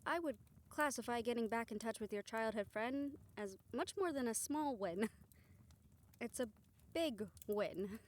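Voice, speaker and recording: A woman's voice: fairly high pitch, a little mellow. A woman acting a good-natured young spellcaster in an audio roleplay, talking in a casual, folksy, wry way. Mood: earnest.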